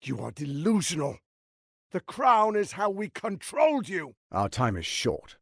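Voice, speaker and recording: An actor playing a suave, ambitious, scheming lord in a video game, speaking in a theatrical, articulate and condescending way. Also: male voice, fairly high pitch, wildly swooping pitch, unhurried, vocal fry, no room sound, studio quality, full character voice. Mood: cold, condescending. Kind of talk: dramatic video game voice acting.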